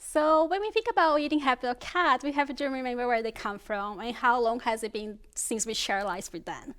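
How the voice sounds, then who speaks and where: A woman's voice: on the high side, fairly quick, a bit bright. A woman in her thirties from Brazil, with a Brazilian Portuguese accent, explaining pet nutrition earnestly as a guest in a studio interview. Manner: earnest, explains carefully, laughs easily.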